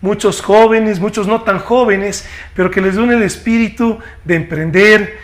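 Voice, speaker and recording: A man's voice: high-pitched, fairly even intonation, unhurried. A man hosting an interview, greeting listeners and asking long, formal questions. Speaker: host.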